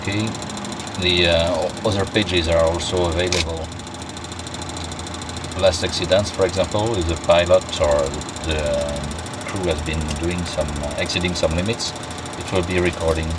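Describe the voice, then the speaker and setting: A man's voice: low voice, steady tone, moderate pace. A male test pilot giving a methodical, step-by-step walkthrough of a helicopter cockpit, recorded on a handheld camera on an airfield. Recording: loud engine and outdoor noise.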